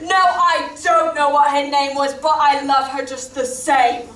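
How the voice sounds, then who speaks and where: A woman acting out an earnest orphan boy of ten, very high and thin in voice, who stands up for himself. Heard from across a roomy school stage, with distant pickup. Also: fairly even intonation, measured pace, slight background noise. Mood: defiant. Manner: earnest, breaking into shouts.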